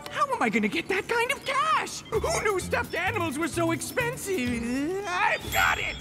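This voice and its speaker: Shrill, frantic cartoon mad scientist. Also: male voice, big pitch swings, normal speed, over loud music. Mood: panicked. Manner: shrill, theatrical, swings from dry muttering to shrieking. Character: frantic, scheming male mad scientist afraid of his mother. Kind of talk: exaggerated cartoon voice acting.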